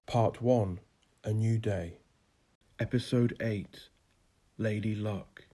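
A man's voice: fairly low pitch, steady tone, slow pace, warm tone, soft background music. A man reading scripted literary fiction as a narrator.

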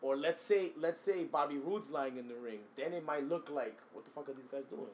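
Animated, profane man chatting. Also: fairly high pitch, brisk, slight background noise. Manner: animated, profane, slangy storyteller. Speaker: podcast co-host. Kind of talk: casual chat.

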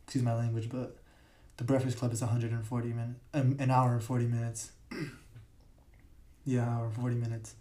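Raspy young man rambling on his podcast. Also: mid-range voice, level tone, fairly quick, warm tone. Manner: rambling, filler-heavy, self-correcting. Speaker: podcast host. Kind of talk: casual solo podcast talk. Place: large echoey room. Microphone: podcast mic.

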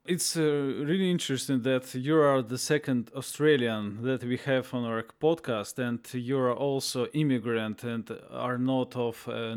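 Halting podcast host with a clear voice. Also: male voice, medium pitch. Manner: halting, frequent "uh" pauses. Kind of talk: interview conversation.

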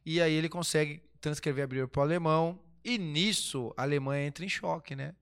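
A man chats through history in a smooth, slightly higher voice. He rambles, breaks off mid-word and answers his own rhetorical questions. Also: a little fast, a bit bright. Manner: rambling, restarts often, asks himself rhetorical questions. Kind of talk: casual history chat.

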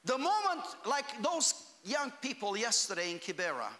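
A man from Romania, preaching a fervent sermon in a church that builds to shouts on key phrases. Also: very high-pitched, sing-song, moderate pace, big-room sound. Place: church hall.

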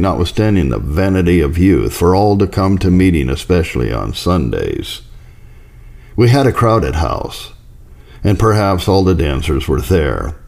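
A man reading a historical religious account as a scripted narration, in a measured, formal manner. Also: sits low, gravelly, darkish tone.

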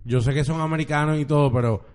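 A man's voice: a little high, steady tone, fast talker, a little mellow. A man with a Puerto Rican accent in a casual group chat, crude, teasing and full of slang. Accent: Puerto Rican Spanish.

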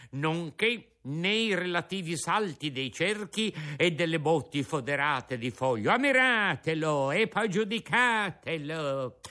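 A man's voice: on the high side, pushed and bright, exaggerated voice. A man voicing a pompous, blustering male circus ringmaster in a storybook reading, speaking grandly with mangled fancy words.

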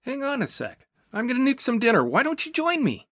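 A bewildered, easygoing young man, voiced by a woman reading an audiobook, defensive and puzzled. His delivery swings up and down with lively pitch, and the sound is dry and close to the mic. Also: a little fast, no room sound, full character voice. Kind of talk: audiobook character voice.

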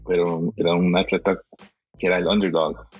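A man talking in an easy, slangy way with lots of hesitations. His voice is very low and husky. The sound is a bit dull and lo-fi, with faint music underneath. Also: little pitch movement, normal speed, no room sound. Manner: laid-back, slangy, with hesitations. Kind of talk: casual chat.

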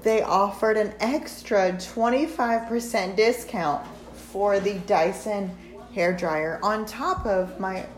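Woman near 40, animated casual talker who teases and swears; mid-pitched voice in an echoey kitchen. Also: slow. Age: 30s. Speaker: retail sales manager. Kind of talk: casual chat. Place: home kitchen.

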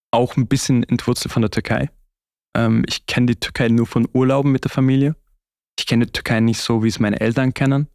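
A man's voice: medium pitch, gravelly, darkish tone, clean tone, dry recording, clean studio sound. A young man with an Austrian accent, talking reflectively and conversationally as an interview guest. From Austria (Vorarlberg).

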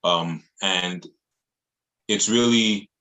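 A man with a deep, low-pitched voice. He talks like an artist in a relaxed interview, earnest and slangy, circling back over his phrases as he makes a point. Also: extremely slow, rough recording. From Montreal, Canada. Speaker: music artist being interviewed. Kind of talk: casual interview chat.

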